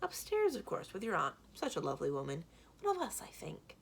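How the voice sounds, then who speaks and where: A woman's voice: on the low side, wildly swooping pitch, brisk, vocal fry, a little hiss or hum, full character voice. A woman voicing a sly, theatrical male potion seller with smug salesman patter in a children's storybook. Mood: coy. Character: Blue, sly bald theatrical male potion-selling schemer. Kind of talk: children's book read-aloud.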